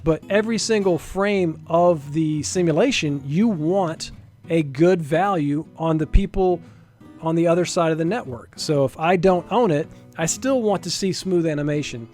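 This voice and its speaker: A man's voice: on the high side, moderate pace, darkish tone, faint music behind. A man giving an informal, explanatory screen-recorded tutorial as a game developer, thinking aloud as he goes. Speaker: game developer presenting a software demo.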